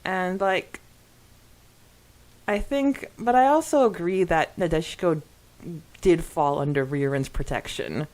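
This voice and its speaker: A woman with a slightly low voice talks as a podcast co-host. She chats casually like a fan and is animated, often doubling up words for emphasis. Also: measured pace, pauses often.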